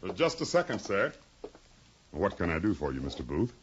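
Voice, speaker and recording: An actor playing a deferential, harried, hesitant bartender in a 1950s radio drama. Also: male voice, deep voice, big pitch swings, normal speed, a little hiss or hum. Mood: harried, polite. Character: deferential, harried male barkeep. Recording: dull 1950s radio recording, crowd behind. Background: barroom crowd.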